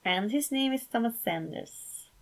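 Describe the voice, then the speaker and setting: A woman's voice: on the high side, expressive, unhurried. A woman voicing a smitten, shy and nervous young man in a story reading, earnest in manner. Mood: bashful.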